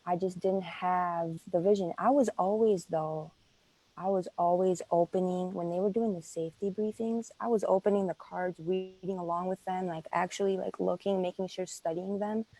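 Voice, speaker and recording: A woman's voice: lowish voice, steady tone, moderate pace, dark tone, dry recording, poor audio. A woman, a native Greek speaker, talking earnestly in a casual interview, with many fillers and restarts. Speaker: interview guest.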